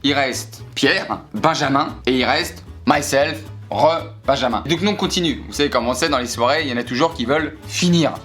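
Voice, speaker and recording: A young man telling a comic holiday story to camera, animated, full of sound effects and asides. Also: medium pitch, brisk, hardly any pauses, faint music behind. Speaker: comedian storyteller.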